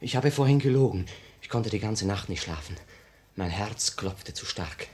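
An actor in a 1950s German radio play playing a tired, frightened, ashamed revolutionary in an anguished, confessional manner. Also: male voice, fairly low pitch, animated, moderate pace, a little hiss or hum, natural voice. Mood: anguished, confessing. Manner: confessional, anguished, dramatic swings from hushed to outbursts.

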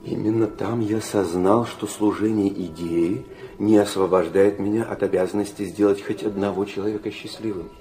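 An actor playing an anguished, idealistic middle-aged nobleman in a radio drama, confiding and philosophizing in a theatrical, halting manner. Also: on the low side, a little mellow, close to an everyday voice. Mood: confessional, tender.

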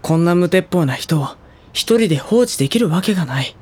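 A woman's voice: on the low side, animated, a little fast, slightly rough. A woman playing a reserved teenage boy who narrates the story and reacts sensibly to his senior. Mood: narrating. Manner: quiet, sober inner narration, flustered when caught. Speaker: narrator and younger student. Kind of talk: anime drama CD narration.

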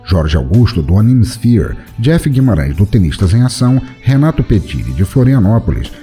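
A man with a Brazilian Portuguese accent hosting an audio drama podcast, reading out notices and thanks in a theatrical, playfully dark tone. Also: on the low side. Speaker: podcast host.

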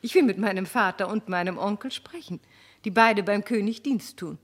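A woman's voice: mid-range voice, moderate pace, natural voice. An actress playing a condescending noble society woman in a radio drama, formal and haughty. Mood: cool condescension.

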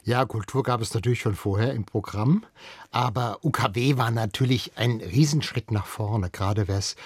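A man's voice: lowish voice, a little mellow, husky, no room sound, studio quality. An older man, a radio veteran, explains things conversationally as an interviewed expert, often correcting himself as he thinks aloud.

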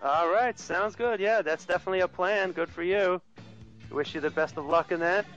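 A man with a fairly high voice speaks on a dull phone line with music in the mix. He gives casual instructions like a radio host, polite and a little hesitant. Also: moderate pace, a bit bright. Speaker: prank caller posing as radio station host. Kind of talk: casual directing over a phone call. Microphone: phone call. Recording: muffled lo-fi phone call with music.

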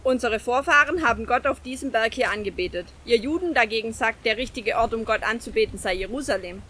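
A woman's voice: fairly high pitch, fairly quick, bright, forceful tone, slight background noise. An actress playing a frank, questioning Samaritan village woman in a Bible audio drama, speaking expressively. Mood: respectful, probing.

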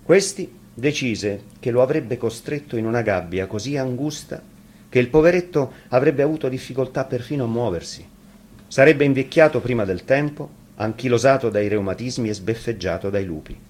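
A man's voice: medium pitch, normal speed, a little mellow. A man reading a fairy tale expressively, as a storyteller.

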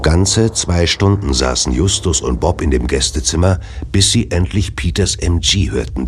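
A man narrating a detective radio play in measured, scripted storytelling style. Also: rumbling low.

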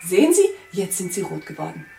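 A gossipy woman neighbour, very animated. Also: lowish voice, fairly quick, gravelly. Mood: gleeful needling. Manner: chatty, dramatic, needling. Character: gossipy, envious, grumbling unmarried woman neighbour. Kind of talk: German radio play acting. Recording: roomy, distant mic, faint music.

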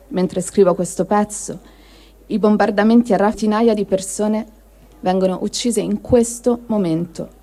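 A woman giving a measured, solemn dramatic reading of a written diary, with pauses between phrases. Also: lowish voice, gravelly, warm tone. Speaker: reader of written testimonies.

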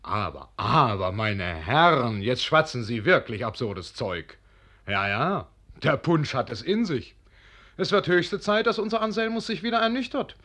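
An urbane narrator of a literary radio play. His medium-pitched voice is lively and expressive, shaping each phrase like a storyteller. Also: unhurried. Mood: wry, measured.

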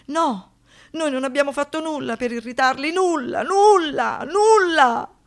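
A woman's voice: high voice, measured pace. An actress playing a traumatised young woman who survived a brutal assault, in a poetic, declamatory radio monologue, anguished and defiant. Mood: indignant. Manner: dramatic, swinging between hushed reflection and impassioned outbursts.

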